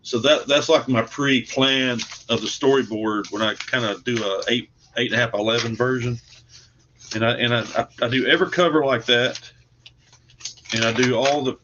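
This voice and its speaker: A man's voice: mid-range voice, fairly even intonation, normal speed, noisy background. Casual man on dull lo-fi audio. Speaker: guest comic book artist. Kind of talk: casual chat.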